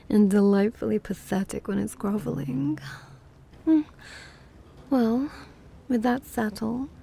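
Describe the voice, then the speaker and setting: A woman's voice: fairly high pitch, vocal fry, dark tone, a little hiss or hum. A woman voicing a naive, prim and haughty vampire mistress in an ASMR roleplay, with dainty, formal, half-whispered speech. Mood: haughty, indulgent.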